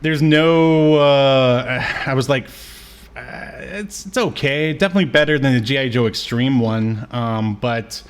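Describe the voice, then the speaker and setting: A man co-hosting a casual review podcast, chatting in a hesitant, halting way. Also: mid-range voice, clear, resonant tone. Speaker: podcast co-host.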